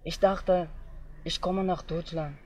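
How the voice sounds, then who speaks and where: A young man from Afghanistan, telling his own story outdoors in simple, halting learner's German. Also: sits high, fairly even intonation, darkish tone, a little hiss or hum. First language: not German. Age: young adult. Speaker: interviewee. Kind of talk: personal testimony. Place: outdoors in tall grass.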